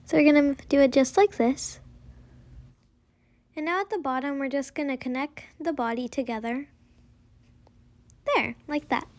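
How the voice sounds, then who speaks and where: A young girl with a high voice talks through a drawing tutorial step by step. Her wording is simple and informal, as if showing a friend what to do next. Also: normal speed, pauses often, a little mellow. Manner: simple, informal instruction with frequent "like".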